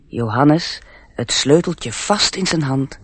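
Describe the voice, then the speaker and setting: An actor playing a calm radio-play narrator, reading gently and in a measured way over faint noise. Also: male voice, on the high side. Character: calm male storybook narrator. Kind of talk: scripted narration in a radio drama.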